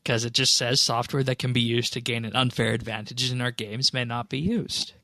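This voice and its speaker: Bright, slightly gravelly man explaining things. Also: medium pitch, steady tone, brisk, no echo. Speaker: podcast co-host. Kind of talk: casual panel discussion about online games.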